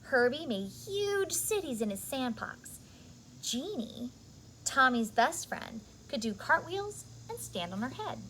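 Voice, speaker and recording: Higher-pitched woman reading a children's story. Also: sing-song, slow, a bit bright, slight background noise, poor audio. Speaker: childcare educator reading a picture book. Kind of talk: expressive read-aloud storytelling for young children.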